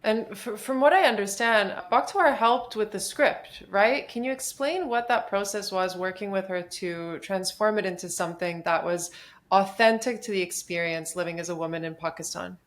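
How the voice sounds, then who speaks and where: A woman hosts a film podcast and puts questions to her guest. She answers with short, encouraging affirmations. Her voice sits at an ordinary middle pitch. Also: normal speed. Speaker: podcast host, interviewer. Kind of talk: interview questions.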